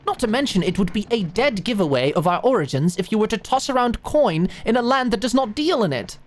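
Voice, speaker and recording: A woman's voice: medium pitch, big pitch swings, fairly quick, a little hiss or hum, pitched above the performer's own voice, lightly acted. A woman voicing a pompous, prickly aristocratic doctor in a medieval audio drama, with formal, precise speech. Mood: haughty, defensive. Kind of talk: medieval fantasy audio roleplay acting.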